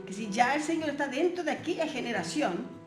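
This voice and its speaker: A woman's voice: sits high, expressive, fairly quick, echoey room, over music. A woman whose first language is Portuguese, teaching a Bible seminar lesson, reading verses aloud and asking rhetorical questions.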